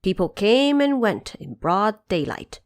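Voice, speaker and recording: A woman narrating an audio drama of a classic tale in an expressive storytelling manner. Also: medium pitch, measured pace.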